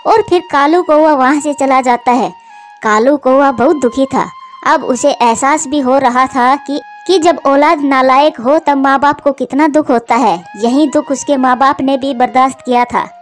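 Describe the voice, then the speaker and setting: High-pitched woman narrating a children's story. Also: measured pace, faint music behind.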